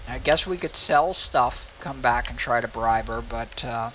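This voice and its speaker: A man's voice: mid-range voice, measured pace, pushed and bright, a little hiss or hum, rough recording. A man giving casual playthrough commentary as host of a gaming video. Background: game music.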